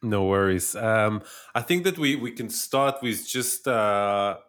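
A man's voice: on the low side, takes it slowly, a bit bright. A man hosting a podcast interview, speaking in a polite, hesitant way with many fillers. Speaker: podcast host.

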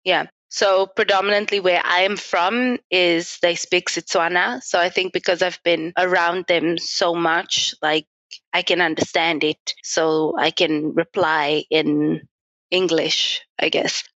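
A woman's voice: on the low side, fairly even intonation, unhurried, a bit bright, dry recording, highs cut off. Earnest young South African woman. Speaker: guest. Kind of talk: reflective podcast interview.

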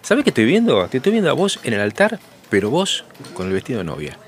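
Grumpy Argentine husband with lively intonation. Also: male voice, medium pitch, fast talker. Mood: reluctant, mocking. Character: grumpy, homebody husband around forty, reluctant. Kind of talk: naturalistic audio drama dialogue.

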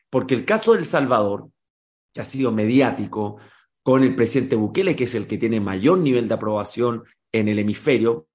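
Man explaining analysis, measured. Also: mid-range voice, darkish tone. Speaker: political risk analyst. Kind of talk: expert interview answers.

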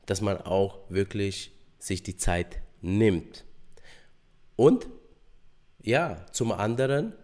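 Man, very low voice, hoarse, podcast host, hesitant, lots of filler sounds. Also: darkish tone. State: hoarse from a cold. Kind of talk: casual solo podcast talk.

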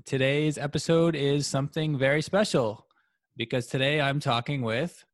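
A man's voice: medium pitch, normal speed, dry recording, studio quality. A young man from Canada hosting a podcast, talking casually and interviewing a guest with friendly questions. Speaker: podcast host.

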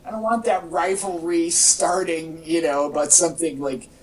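A podcast co-host with a mid-pitched voice talks in a casual, opinionated way. The thoughts ramble, with repeated and restarted phrases. The voice sounds set back from the mic in an echoey home recording. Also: steady tone, moderate pace, recorded from a distance. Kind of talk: casual movie chat, opinionated commentary.

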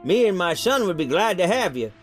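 A man's voice: sits high, sing-song, fairly quick, soft background music, voice pushed up. A man voicing a folksy small-town store owner, friendly yet cautionary, in an audiobook trailer. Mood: earnest, cautionary.

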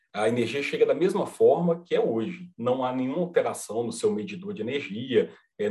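Mineiro man, podcast guest, patient reassuring explainer, mid pitch. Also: warm tone. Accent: Mineiro Brazilian Portuguese. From Minas Gerais, Brazil. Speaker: company representative guest. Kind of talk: podcast interview, explaining a product.

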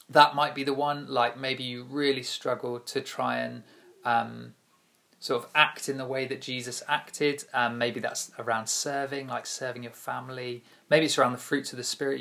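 A man leading a casual church devotional, relaxed with many pauses and fillers, joking with his co-host. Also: mid-range voice, creaky. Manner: relaxed, many ums, playful banter. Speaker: youth church leader.

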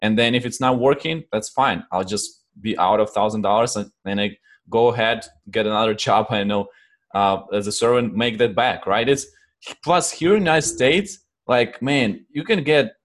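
A man's voice: fairly low pitch, moderate pace. A man from Ukraine with a Ukrainian accent, answering interview questions in a reflective way, often restarting and rephrasing. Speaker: guest.

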